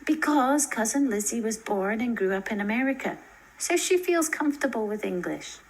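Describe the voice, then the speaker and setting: A woman's voice: a little high, lively intonation, moderate pace, voice pushed up, poor audio. A woman voicing a cautious, knowing teenage girl in a storybook reading. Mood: explanatory.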